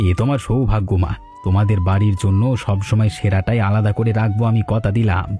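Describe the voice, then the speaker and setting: A man's voice: fairly low pitch, steady tone, quick pace, mellow, faint music behind, lightly acted. A man playing a friendly, humble butcher in a cartoon story, amiable and effusive with his praise. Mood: humble, generous.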